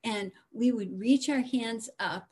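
A woman guest storyteller sharing casual personal anecdotes in an animated, humorous way from her home. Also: fairly high pitch, takes it slowly, slightly rough.

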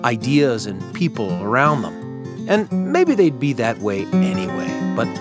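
Expressive male storytelling radio host, American. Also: medium pitch, over loud music. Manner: earnest, dramatic pauses. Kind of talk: scripted narration for a storytelling show.

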